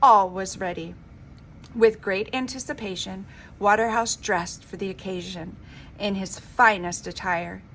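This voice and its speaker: A woman reading a children's storybook aloud like a teacher, with an expressive, emphatic delivery. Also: medium pitch, a little slow. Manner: dramatic, emphatic delivery with informal asides. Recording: clearly noisy homemade recording.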